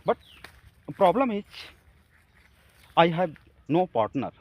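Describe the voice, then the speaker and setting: A man from India with an Indian accent, a Hindi speaker, talking haltingly to camera about practicing English, with many fillers and restarts. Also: high-pitched, very animated, muffled recording. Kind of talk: casual talk to camera.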